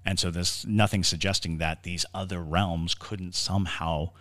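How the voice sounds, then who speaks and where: A man's voice: low-pitched, fairly even intonation, normal speed, fairly bright tone. A man co-hosting a conversational paranormal podcast, explaining his ideas in a musing way and often repeating words as he gathers them. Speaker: podcast co-host.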